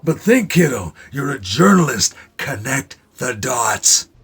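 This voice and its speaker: Old whistleblower on his deathbed: hoarse, intense, slow, insistent, emphatic. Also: mid-range voice, big pitch swings, full character voice. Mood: insistent, urgent. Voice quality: husky, strained. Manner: prodding, emphatic. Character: dying old whistleblower, insistent male mentor. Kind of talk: audio drama acting.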